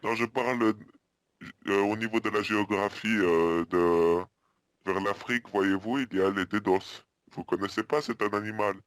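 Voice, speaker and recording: A man playing an earnest, muddled hotel guest on a prank phone call, hesitant, repetitive and politely deadpan. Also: lowish voice, moderate pace, no room sound, clean studio sound, close to an everyday voice. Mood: deadpan, rambling. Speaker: prank caller.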